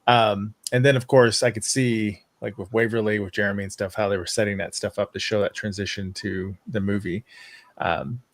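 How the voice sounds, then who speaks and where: A man with a slightly low voice speaks on a fan panel. He rambles casually and hesitantly, thinking aloud, and the sound is fairly dry and close. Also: steady tone, normal speed, dry recording.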